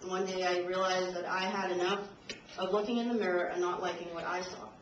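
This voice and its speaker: A young woman reads her prepared speech to a university audience, slightly nervous and breaking into laughs now and then. She is caught from far off by a camcorder in a roomy lecture hall. The sound is dull and carries a steady hiss. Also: on the low side, a little fast. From Trinidad and Tobago. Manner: a little nervous, laughs between lines. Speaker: invited speaker. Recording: roomy, distant, dull camcorder audio with noise.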